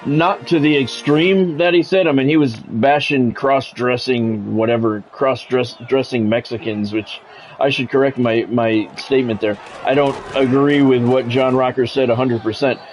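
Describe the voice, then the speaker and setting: A man's voice: mid-range voice, steady tone, soft background music, poor audio. A man live streaming a football video game, mixing casual, blunt chat with play-by-play and often repeating his words as he goes. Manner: halting, repeats words and phrases.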